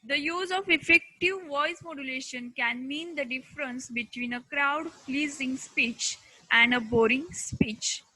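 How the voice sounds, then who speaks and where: A woman with an Indian accent giving a scripted lesson as an instructor, speaking deliberately in short, halting chunks. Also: sits high, bright, forceful tone, no echo, rough recording.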